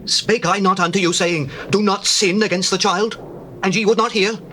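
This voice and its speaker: A vehement, reproachful brother of ancient times in scripture drama. He declaims in a high, theatrical voice. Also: fairly even intonation, normal speed, bright, forceful tone, slight background noise. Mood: accusing.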